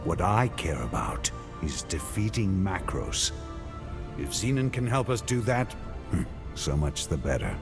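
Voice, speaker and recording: An actor playing a stern, battle-hardened knight in a fantasy video game, speaking tersely and gravely, with a commanding manner. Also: male voice, low voice, big pitch swings, a little slow, exaggerated voice. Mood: cold, pragmatic. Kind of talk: dramatic video game acting. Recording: background music.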